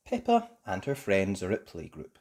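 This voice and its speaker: A woman narrating a children's storybook aloud, slow and expressive. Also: a bass voice, husky. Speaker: storybook reader.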